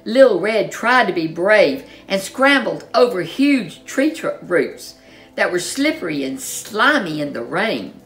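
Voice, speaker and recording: A woman storyteller reading a children's storybook in an expressive, animated way, with dramatic emphasis. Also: medium pitch, takes it slowly, faint music behind.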